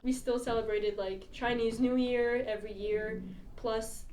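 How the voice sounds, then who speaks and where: Higher-voiced chatty teen girl. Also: steady tone, a little slow, a little mellow, a little hiss or hum. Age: teens. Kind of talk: casual interview answer. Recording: distant, echoey room.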